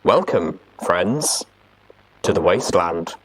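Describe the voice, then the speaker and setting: Male presenter for a reading show intro, theatrical, with extremely lively pitch swings. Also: slow pace, breathy edge, poor audio. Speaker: host of a fan fiction reading show.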